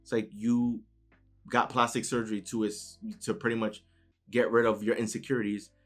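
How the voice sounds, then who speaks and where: A man's voice: mid-range voice, level tone, normal speed, faint music behind. A man from New York City with a New York accent, giving a conversational motivational talk and often checking in with "right?". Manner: conversational, keeps adding "right?" tag questions. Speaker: motivational speaker.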